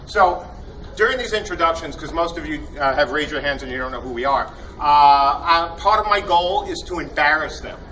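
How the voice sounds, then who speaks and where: A man's voice: fairly high pitch, expressive, moderate pace. Enthusiastic man hosting a convention panel. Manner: jokey, enthusiastic, rambling with fillers. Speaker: panel host introducing team. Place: convention hall. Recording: distant mic with loud room noise.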